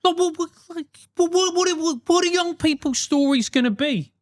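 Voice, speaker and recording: South London male host, animated advice rant, loose and profane, dry close mic. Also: very high voice, normal speed, dry recording. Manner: animated, emphatic, swears freely.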